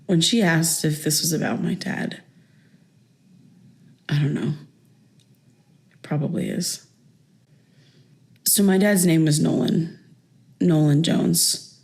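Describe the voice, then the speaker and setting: A woman's voice: low voice, vocal fry, a little mellow, exaggerated voice. A woman playing a raw, insistent daughter in her thirties in a naturalistic audio drama, speaking in a hesitant, conversational way. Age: 30s. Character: wounded thirty-three-year-old daughter, insistent and raw.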